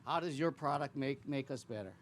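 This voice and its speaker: Halting man moderating a panel. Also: medium pitch, a little hiss or hum. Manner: halting, repeats words often. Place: conference room.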